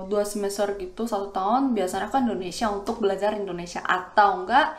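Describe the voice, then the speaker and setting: A woman's voice: medium pitch, fairly quick, roomy sound. A woman in her twenties from South Korea, a native Korean speaker with a Korean accent, explaining things casually as a student vlogger. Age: 20s. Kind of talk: casual explanatory vlog talk.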